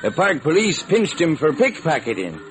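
A man's voice: on the high side, very animated, normal speed, soft background music. A man playing a plain-spoken, obliging zoo keeper in an old-time radio crime drama.

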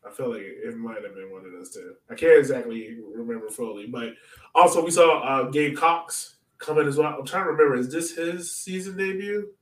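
A man's voice: mid-range voice, brisk. A man hosting a casual sports podcast, chatting and asking questions in a loose, conversational way. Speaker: podcast host. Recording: roomy echo, distant mic.

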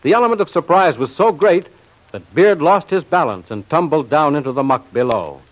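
A man's voice: a little high, animated, normal speed. A man with an American accent narrating a scripted 1940s radio serial in a dramatic, declamatory manner. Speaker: announcer and narrator. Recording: dull old radio recording.

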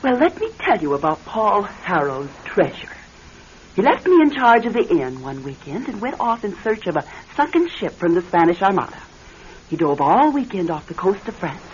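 An actress playing an earnest, wistful wronged wife, in the theatrical manner of classic radio drama. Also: female voice, fairly low pitch, big pitch swings, moderate pace. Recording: muffled old radio broadcast with faint hiss.

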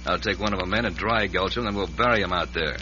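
An American actor playing a scheming, ruthless frontier land agent with a folksy drawl in an old western radio drama. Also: male voice, deep voice, fast talker, dull audio, lightly acted. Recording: band-limited, noisy old radio recording.

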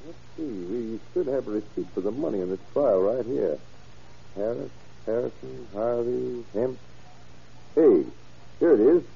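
Husky, animated small-town banker, old radio. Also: male voice, medium pitch, unhurried, pauses often, full character voice. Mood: musing, obliging. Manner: hesitant, thinking aloud as he recalls. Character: helpful, chatty small-town banker recalling details. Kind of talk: old radio drama acting. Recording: dull vintage radio drama recording.

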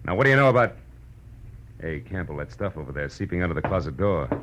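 An actor playing a wry, tough American private detective in 1940s radio style, with a sardonic, slangy delivery. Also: male voice, low voice, big pitch swings, quick pace, noisy background. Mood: mocking, tough. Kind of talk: 1940s radio acting, with first-person narration. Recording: old radio recording, faint music.

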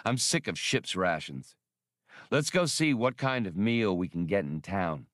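A man's voice: sits low, lively intonation, measured pace, fairly bright tone, no echo, studio quality. A man voicing a cocky, impatient starship smuggler captain in an audiobook. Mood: brash. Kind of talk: audiobook character voice.

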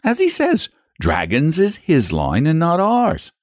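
A soft-hearted country wife and mother from a storybook, played by a male reader. The voice is raised in pitch and very animated, with a homely, sensible, gently persuasive manner. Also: unhurried, dry recording, clean studio sound. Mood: kindly, reassuring.

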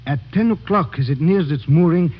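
An actor playing an earnest foreign police lieutenant in a classic radio drama, speaking formally and precisely. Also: male voice, fairly high pitch, fairly quick, breathy edge. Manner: formal, precise phrasing without contractions. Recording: dull vintage radio recording.

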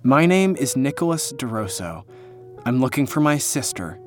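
A man's voice: medium pitch, sing-song, normal speed, soft background music, close to an everyday voice. An actor playing an earnest man searching for his missing sister, narrating an investigative audio-drama trailer. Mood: earnest, grave.

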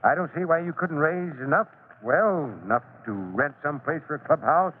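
A male actor plays a kindly, middle-aged fire chief in a vintage radio serial. He talks in a folksy, fatherly way with small hesitations, in a fairly high male voice. The recording is thin and narrow, with noise underneath. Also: expressive, moderate pace, natural voice. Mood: fatherly, encouraging. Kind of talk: old-time radio drama acting. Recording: tinny, noisy old radio recording.

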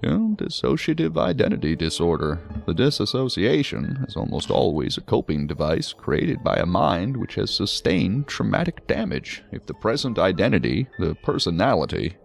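An actor playing a talkative, learned psychiatrist who muses and explains at length in a science fiction audio drama. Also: male voice, deep voice, creaky, full character voice. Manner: wordy, musing, explanatory. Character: male psychiatrist, talkative, learned, genially hedging.

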